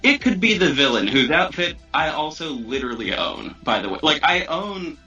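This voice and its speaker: A man's voice: fairly high pitch, sing-song, normal speed, pushed and bright, muffled recording, soft background music. A man chatting casually as a podcast guest who makes audio drama, rambling in an informal, self-deprecating way. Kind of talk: casual chat.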